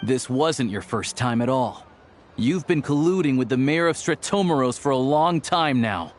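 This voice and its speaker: A man's voice: mid-range voice, expressive, moderate pace, close to an everyday voice. A man playing a shrewd hunter in a video game, speaking with blunt, matter-of-fact confidence. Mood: accusing. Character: shrewd, blunt male hunter turned investigator. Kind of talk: dramatic video game voice acting. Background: faint background music.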